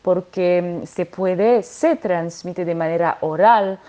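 A woman's voice: fairly low pitch, slow, warm tone. A French woman singer speaking Spanish with a French accent, answering interview questions with many hesitations and restarts. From France.

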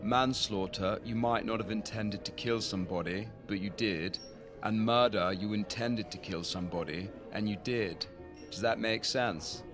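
Guy playing polite dull date in an audio drama, earnest, lowish voice, music playing behind. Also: normal speed, close to an everyday voice. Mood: explaining. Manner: polite, explanatory, smitten. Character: earnest, courteous, dull male suitor on a date.

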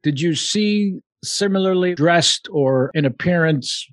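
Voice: medium pitch, a little slow, dry recording. A podcast host interviewing a guest in a playful, jokey way. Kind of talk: casual interview.

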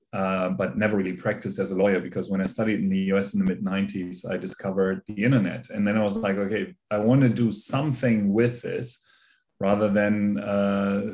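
A man in his forties speaking with a German accent, his voice low and narrow in pitch. He talks in a measured way over a video call, with frequent fillers and self-corrections as he works through his thoughts. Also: a little mellow. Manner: measured, many fillers and restarts. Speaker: guest, company founder. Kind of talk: conversational interview.

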